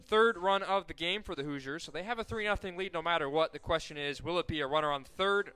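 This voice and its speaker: A young man calling softball play-by-play for college radio, correcting himself often and surging loud on big plays. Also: on the high side, fast talker, smooth. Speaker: play-by-play announcer.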